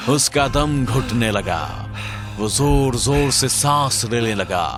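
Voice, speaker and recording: A man's voice: medium pitch, slow pace. A man narrating a scripted story in a dramatic, suspenseful storytelling manner. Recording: loud music bed under narration.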